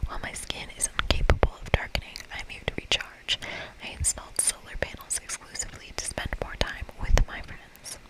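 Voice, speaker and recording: Soft breathy whisper all the way thru, asmr person reading out game dialogue. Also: fairly quick, poor audio.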